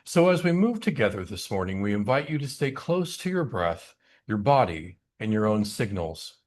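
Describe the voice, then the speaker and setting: A man leading an online spiritual service, speaking in a gentle, inviting and personable way as he guides listeners. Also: on the low side, sing-song, moderate pace.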